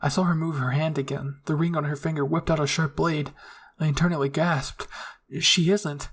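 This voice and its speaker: High-voiced man narrating a story. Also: level tone, a little fast, slightly rough. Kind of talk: scripted first-person roleplay narration.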